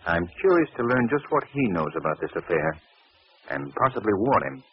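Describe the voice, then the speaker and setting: An actor playing a composed, polite gentleman sleuth with a measured, assured manner, in 1940s radio mystery style. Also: on the low side, big pitch swings. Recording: dull, noisy old radio recording.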